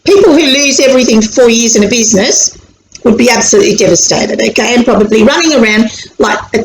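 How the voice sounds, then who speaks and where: Australian woman, animated teaching talk, fairly high voice, echoey room with faint hiss. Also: fast talker. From born in Sri Lanka, raised in Australia. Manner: chatty, animated, acts out anxious inner voices. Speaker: anxiety educator on video. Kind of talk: casual explanatory talk to camera. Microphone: camera mic.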